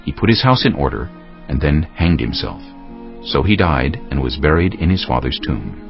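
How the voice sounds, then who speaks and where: Male scripture narrator, extremely low voice, highly varied intonation, solemn, slightly muffled, faint music behind. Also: very animated, moderate pace, gravelly.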